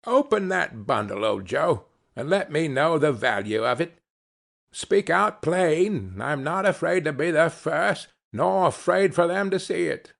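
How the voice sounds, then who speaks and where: A man's voice: lively intonation, unhurried, gravelly, fairly bright tone, exaggerated voice. A man voicing a coarse, greedy woman who cackles and mocks. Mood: defiant. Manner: shrill cackling laughter. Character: coarse scheming old charwoman, mocking and greedy.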